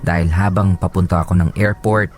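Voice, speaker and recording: A man's voice: deep voice, steady tone, brisk, lightly acted. A man narrating in the first person as a confessional young man in a Tagalog radio drama. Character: confessional young man telling his love story. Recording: faint music behind the voice.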